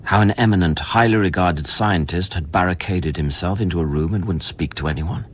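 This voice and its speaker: An actor playing an earnest scientist on a space station in a radio play, brooding and deliberate in narration, urgent when questioning. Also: male voice, low voice, slight background noise, close to an everyday voice. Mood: brooding, reflective. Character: earnest male scientist newly arrived on space station, recounting events. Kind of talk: radio drama acting with first-person narration. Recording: music behind the voice.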